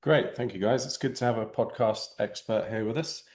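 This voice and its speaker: A man's voice: fairly low pitch, brisk, a little mellow. A man hosting a podcast interview, reading scripted intros in a polished manner and handing over to guests. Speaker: podcast host.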